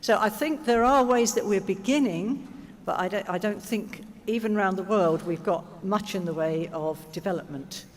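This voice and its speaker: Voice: mid-range voice, moderate pace, a little hiss or hum. A conference speaker answering audience questions in a reflective, explanatory way, with rhetorical questions and check-ins. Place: large conference hall. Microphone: stage microphone. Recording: reverberant hall over PA microphone.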